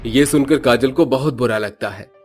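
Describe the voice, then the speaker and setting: A man's voice: medium pitch, moderate pace, darkish tone, soft background music. A man giving scripted narration for a horror cartoon story.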